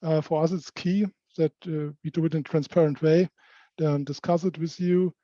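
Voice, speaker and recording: A man presenting at a business community call as a working group member, speaking haltingly with non-native phrasing. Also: fairly high pitch, steady tone, dark tone. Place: indoor meeting room, on-site group meeting. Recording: dull, echoey meeting-room call audio.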